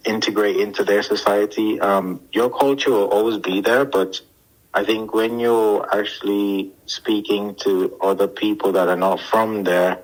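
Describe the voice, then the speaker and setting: Low bright-toned man. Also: poor audio. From Guyana. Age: 30s. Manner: thoughtful, searching for words. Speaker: interview guest. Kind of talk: reflective interview answers.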